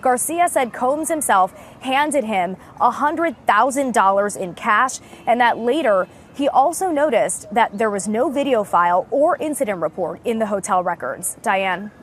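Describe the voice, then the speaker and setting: A woman's voice: a little high, lively intonation, measured pace, hardly any pauses, a little hiss or hum. A woman delivering a broadcast news report as a reporter.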